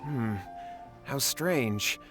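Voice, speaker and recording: A man's voice: mid-range voice, expressive, extremely slow, over music. Worried, earnest young man. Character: worried, hesitant young man seeking his brother. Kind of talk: video game voice acting.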